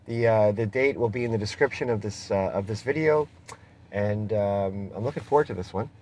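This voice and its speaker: A man's voice: lowish voice, brisk, a little mellow. A man with a Canadian accent hosting a casual, chummy interview inside a car, using informal slang. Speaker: interviewer host. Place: inside a car being driven. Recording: noisy moving vehicle interior.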